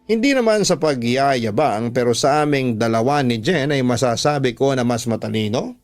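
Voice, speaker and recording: A man's voice: mid-range voice, expressive, moderate pace. A man narrating a listener's letter in a scripted, dramatic radio storytelling style. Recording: music bed under the voice. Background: background music.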